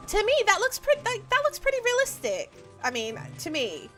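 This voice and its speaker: A woman's voice: very high-pitched, wildly swooping pitch, moderate pace, fairly bright tone, faint music behind. A young woman chatting casually while she streams a game, with lots of ums and easy laughs. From Australia. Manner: chatty, many ums and restarts, laughs easily. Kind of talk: casual live-stream chat while playing.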